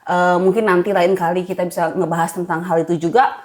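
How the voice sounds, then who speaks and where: A woman's voice: on the low side, little pitch movement, fairly quick, a little mellow. An Indonesian woman co-hosting a podcast, introducing herself in casual chat. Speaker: podcast co-host.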